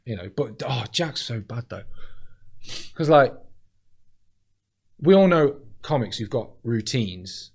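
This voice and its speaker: A man talking in casual chat as a comedian guest, deadpan and blunt, swearing freely as he tells stories. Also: medium pitch, slow pace, creaky, long pauses, husky.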